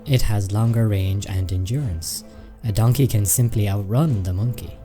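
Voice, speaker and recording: An actor playing a sober, reasonable imp scout in a radio play, speaking in an understated, formal, matter-of-fact way. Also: male voice, on the low side, moderate pace, dark tone, close to an everyday voice. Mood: calm, analytical. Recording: faint music and insect chirps behind.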